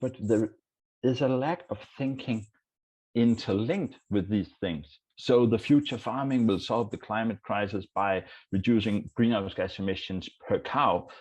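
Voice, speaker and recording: A man's voice: on the low side, a little slow, a little mellow, poor audio. A man from Denmark, a food policy professor, speaking earnestly on a panel. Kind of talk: panel talk.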